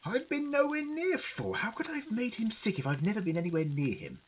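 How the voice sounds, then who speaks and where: A woman's voice: on the high side, sing-song, a little fast, slight background noise. A woman playing a beleaguered doctor in an audio drama, earnest and defensive, pleading innocence. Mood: defensive reasoning.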